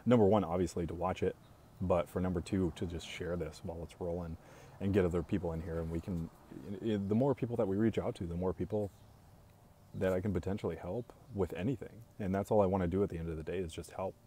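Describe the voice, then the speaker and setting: A young man hosting a casual live stream, talking in a calm, even monotone, slow and reassuring toward his viewers. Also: deep voice, a little hiss or hum. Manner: speaks slowly and reassuringly to viewers.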